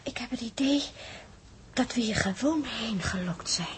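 Female, actress as uneasy young space traveler, high-pitched, questioning, vintage sci-fi radio play. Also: normal speed, slight background noise, close to an everyday voice. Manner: questioning, easily startled. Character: wide-eyed, uneasy young woman aboard spaceship. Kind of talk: 1960s Dutch science fiction radio drama acting.

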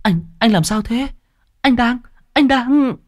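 A man's voice: very high voice, expressive, slow pace, no room sound, clean studio sound, voice pushed up, heavily acted. A man voicing a panicked young village woman who stammers and cries out for help.

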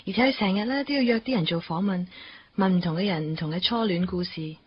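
A woman's voice: lowish voice, fast talker, breathy edge. A breezy Cantonese girlfriend, muffled recording. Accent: Hong Kong Cantonese. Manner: chatty, sprinkles in English words. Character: breezy, sociable young girlfriend, cutely affected. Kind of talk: radio drama acting.

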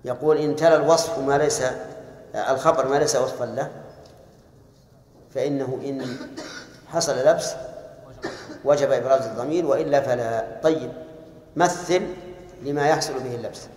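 A man leads a grammar lesson, questioning his students in a brisk, corrective way. His voice sits in the middle of the male range. It rings through a large hall with a long reverb tail. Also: mid-range voice, a little mellow. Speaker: teacher. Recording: echoey hall.

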